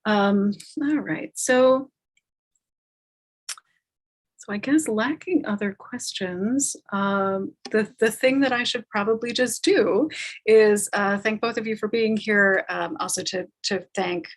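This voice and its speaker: A woman informally hosting an online talkback, speaking in halting, measured phrases with pauses. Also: medium pitch. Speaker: talkback host.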